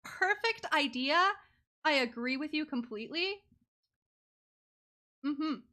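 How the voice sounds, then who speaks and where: A woman streaming a video game with casual live commentary, giggly and excitable in her reactions. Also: high voice, slow.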